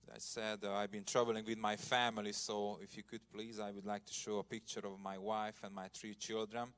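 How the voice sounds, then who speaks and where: A man's voice: on the low side, flat delivery, a little fast, smooth. A middle-aged man from Hungary with a Hungarian accent, preaching as a guest in a church and sharing his personal testimony earnestly. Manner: earnest, gets loud and emphatic at points of praise. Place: church sanctuary.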